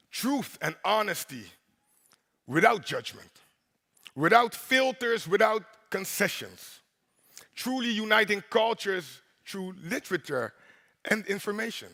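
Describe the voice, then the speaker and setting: A man hosting the opening of a congress on stage, with a very deep voice, talking in a playful, rhythmic way and drawing in the crowd. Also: creaky, bright, forceful tone. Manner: playful, rhythmic, crowd-engaging with repeated "right?". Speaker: host and MC. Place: large conference hall. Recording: long hall reverb.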